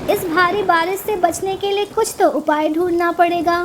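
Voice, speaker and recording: A woman's voice: very high-pitched, steady tone, moderate pace, slight background noise. A woman voicing a poor, humble mother sparrow in a children's cartoon, earnest and plaintive. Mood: resourceful. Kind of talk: Hindi children's cartoon dubbing.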